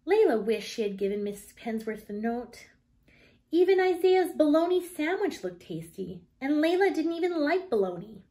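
A woman in her thirties reading a children's picture book aloud in an expressive, deliberate manner. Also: high-pitched.

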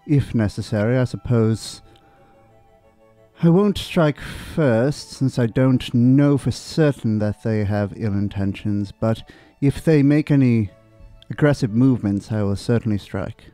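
Male player at a game table, average pitch, casual in-character talk, faint music behind. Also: a little slow, dark tone. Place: room, group around a table. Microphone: table microphone on arm, close.

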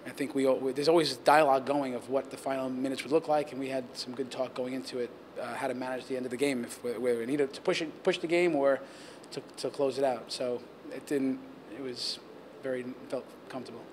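Man in his forties, football coach, post-game press talk, measured and earnest, faint room hum. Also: medium pitch, fairly even intonation. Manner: measured, earnest, many restarts and fillers. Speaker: head coach. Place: press backdrop area, indoors. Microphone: handheld reporter mics held close.

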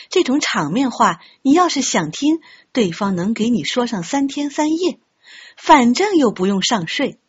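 A woman reading a novel aloud as an audiobook narrator, in an expressive storytelling style. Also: mid-range voice, husky. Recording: dull, muffled lo-fi audio.

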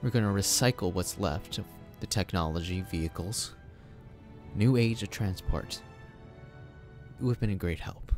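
A man acting in an audio drama as a commanding politician-tycoon, measured, polite and dryly cool. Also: sits low, a little mellow, lightly acted. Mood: cool, courteous. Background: music.

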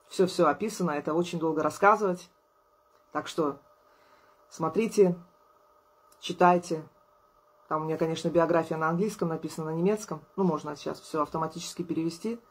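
A woman in her thirties, an artist vlogger, chatting casually to camera at a table indoors, tired and low on energy but friendly, laughing often. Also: darkish tone, husky. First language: Russian. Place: indoors at a table, small room.

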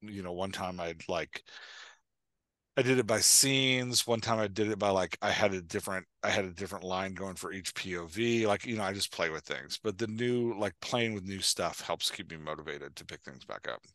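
An American man in his forties chatting casually as a podcast host, telling stories in an animated way and voicing his own thoughts. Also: deep voice, moderate pace, no room sound. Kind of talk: casual Q&A chat. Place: indoor room at home, on a video call. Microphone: headset microphone.

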